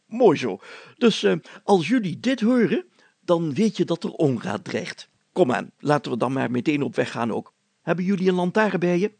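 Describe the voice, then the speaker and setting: A man voicing an excitable, self-important village mayor in a storybook, chatty, pompous and full of exclamations. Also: on the high side, normal speed, gravelly, husky, dry recording, heavily acted. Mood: gleeful, excited.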